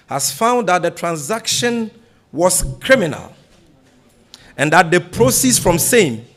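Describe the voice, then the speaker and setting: A man with a Ghanaian accent reading a prepared political speech as a party spokesman, in a deliberate, emphatic, oratorical way. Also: fairly high pitch. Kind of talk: formal political speech read from a script at a press briefing.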